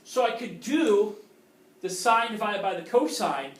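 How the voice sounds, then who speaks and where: A man's voice: high voice, lively intonation, unhurried. A male math teacher lecturing a class, often checking in with "okay?". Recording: roomy classroom audio from a distant camera.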